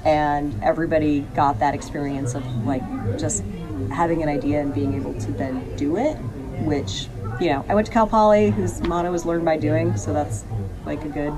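A woman who teaches high school, talking casually and enthusiastically as she tells anecdotes in an interview. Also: normal speed, music in the background, poor audio.

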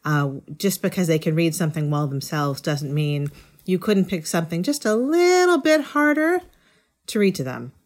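A woman's voice: lowish voice, big pitch swings, moderate pace. A woman reporter giving conversational, explanatory answers in an interview. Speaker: education reporter, guest.

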